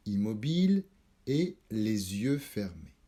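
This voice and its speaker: A man leading a guided yoga nidra meditation, calm and measured, instructing in short spaced phrases. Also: on the low side. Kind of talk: slow guided relaxation meditation.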